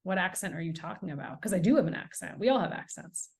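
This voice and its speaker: A woman's voice: on the low side, lively intonation, fast. American woman on a podcast, earnest and emphatic, tells it like a story. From United States. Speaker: author and guest. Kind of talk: podcast interview.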